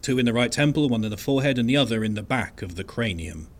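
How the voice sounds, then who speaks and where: A man playing an unassuming, wry and resigned archivist in an audio drama monologue, speaking in a dry, understated, conversational way with hesitant pauses. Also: medium pitch, lively intonation, natural voice. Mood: matter-of-fact, wry. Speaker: narrator of an audio fiction podcast.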